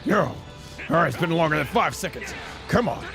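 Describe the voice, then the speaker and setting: A man's voice: on the high side, expressive, a little fast, creaky, loud music behind the voice. A man voicing a cocky, battle-hungry martial-arts hero in a fan dub over a fighting game, with brash taunts. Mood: cocky, fired up.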